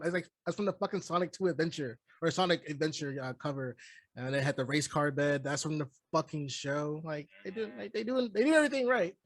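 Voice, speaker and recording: Higher-voiced man, dry sound, emphatic casual chat. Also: dry recording. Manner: emphatic, swears freely, peppers talk with like. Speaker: podcast co-host reviewing a movie. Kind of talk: casual, slangy movie chat.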